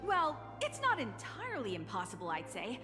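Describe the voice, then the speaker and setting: An eager young convert in a video game hero squad. The voice is high, animated and persuasive, carried on a long reverb with music underneath. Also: female voice, normal speed.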